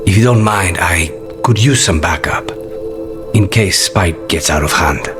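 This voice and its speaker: A man's voice: low-pitched, measured pace, music in the background. A man voicing a brooding, courteous assassin with a dry, guarded manner in a video game cutscene. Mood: soft, reflective.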